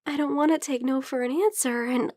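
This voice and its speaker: A woman playing a shy, lovestruck young female vampire in an intimate ASMR roleplay, speaking softly and hesitantly, often slipping into whispers. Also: sits high, steady tone, moderate pace, no room sound, clean studio sound, close to an everyday voice. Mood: bashful, confessing, then smitten. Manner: hesitant, soft, breathy murmuring that slips into whispers.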